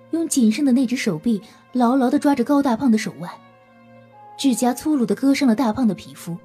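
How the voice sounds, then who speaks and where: Woman reading dramatic story narration, really husky and breathy, dark mellow tone, music behind. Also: on the high side.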